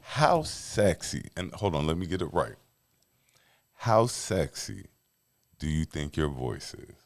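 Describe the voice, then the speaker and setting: A man hosting a casual podcast, laid-back, laughing often and dropping his voice low for effect. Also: very animated, takes it slowly. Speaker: podcast host. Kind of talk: casual chat.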